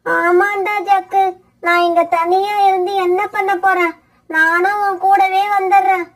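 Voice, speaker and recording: A woman voicing a plaintive, lamenting widowed mother crow in an animated bird fable. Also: very high-pitched, unhurried. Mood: wistful. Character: tearful old widowed mother crow, betrayed and remorseful.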